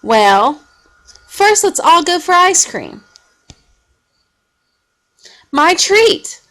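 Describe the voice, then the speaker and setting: A woman voicing an eager, excitable seven-year-old boy in a children's storybook. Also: very high-pitched, very slow, voice pushed up, heavily acted. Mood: excited. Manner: excitable, pleading.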